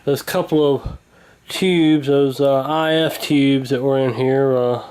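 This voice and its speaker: A man at mid pitch talks through a workshop repair in a relaxed, rambling way, stopping often for fillers. He sounds unhurried and plainspoken. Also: clean tone. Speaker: vintage radio repairer. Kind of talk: casual workshop narration.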